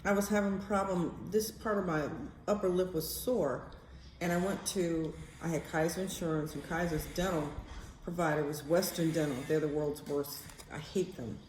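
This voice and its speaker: A woman with an American accent and a fairly low voice talks off the cuff like a vlogger. She is candid and blunt, working through her thoughts aloud. The room is noticeably echoey, with faint noise underneath. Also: steady tone, moderate pace, darkish tone. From United States. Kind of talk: casual rambling personal storytelling. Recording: roomy echo, faint background noise.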